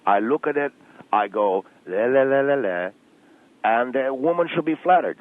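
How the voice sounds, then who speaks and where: A man's voice: medium pitch, animated, moderate pace, dry recording, phone-quality sound, natural voice. A man playing a defensive, leering Argentinian waxing salon owner on a radio comedy show, arguing insistently in an Argentinian accent. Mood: self-satisfied. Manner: argumentative, insistent, self-justifying. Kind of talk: radio comedy call-in character.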